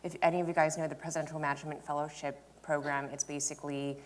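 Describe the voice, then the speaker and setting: A woman with a low-pitched voice speaks on a panel at an indoor event. She tells her story in a candid, informal way, quoting her own thoughts as she goes, picked up by a lapel mic. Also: level tone, quick pace. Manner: informal, peppered with "like" and self-quotation. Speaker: startup founder on a panel. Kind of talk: candid conversational storytelling on stage. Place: indoor event stage before an audience.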